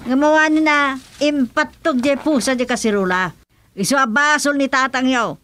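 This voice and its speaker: Radio comedy role: inquisitive, grumbling Ilocano housewife, fairly high female voice. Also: normal speed, pushed and bright. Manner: questioning, complaining. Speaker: actress in a radio comedy serial. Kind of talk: Filipino radio comedy drama acting.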